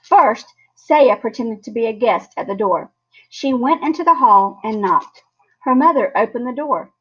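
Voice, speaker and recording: A woman reading a children's story aloud in an expressive, animated way. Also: fairly high pitch, moderate pace, slightly rough. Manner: animated, acts out character lines. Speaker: storybook reader. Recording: dull, lo-fi sound.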